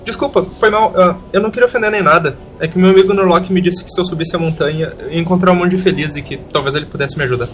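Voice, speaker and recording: A man voices a young orphan boy for an audio drama, speaking quickly in a fairly high voice. He is naive and timid, polite and eager to apologise. Soft music plays behind him. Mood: timid, apologetic.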